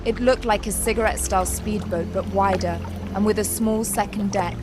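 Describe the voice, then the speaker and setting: A woman's voice: medium pitch, fairly even intonation, normal speed, close to an everyday voice. Actress as a suspenseful woman narrator over music. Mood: measured, suspenseful. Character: composed female narrator of a sea adventure. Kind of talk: scripted dramatic narration.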